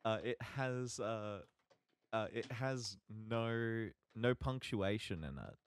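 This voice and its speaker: A man co-hosting a podcast in casual, joking conversation, halting often and swearing casually. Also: lowish voice, clear, resonant tone. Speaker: podcast co-host.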